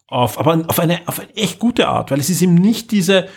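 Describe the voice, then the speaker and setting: Husky Austrian man, enthusiastic. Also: high-pitched, animated, fairly quick, darkish tone. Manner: enthusiastic, emphatic, repeats words. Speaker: podcast host. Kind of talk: casual review chat.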